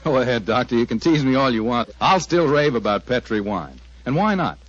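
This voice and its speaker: A man with an American accent, hosting and pitching the sponsor in the style of a 1940s radio announcer. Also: big pitch swings, a little fast, dry recording. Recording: dull lo-fi 1940s radio recording.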